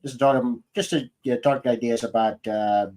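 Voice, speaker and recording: A man's voice: mid-range voice, steady tone, normal speed, a little mellow. A man hosting a podcast and chatting casually with his guest as a fellow scriptwriter. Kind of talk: casual interview chat.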